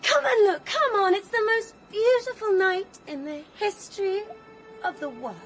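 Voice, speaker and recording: A young countess with a very high, girlish voice. She is impulsive, dreamy and full of life in radio-play style, with music clearly behind her. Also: lively intonation, normal speed. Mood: rapturous, dreamy. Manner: breathless, girlish, rapturous. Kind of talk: radio drama acting.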